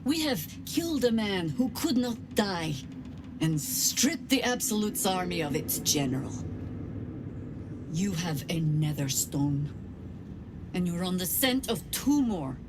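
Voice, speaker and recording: A woman voicing a seasoned older adventurer in a video game, steadfast and resolute, speaking in a measured, earnest and grave manner. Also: fairly low pitch, sing-song, background noise. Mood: encouraging. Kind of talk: video game voice acting, dramatic dialogue.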